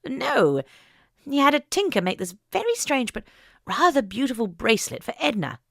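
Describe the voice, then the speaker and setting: Lady narrator doing a proper little old lady, breathy n hesitant, kinda high voice, audiobook style, very dry recording. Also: very animated, normal speed, no echo, heavily acted. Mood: indignant, proper. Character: frail, flustered elderly genteel woman, former suffragist. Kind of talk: audiobook character acting.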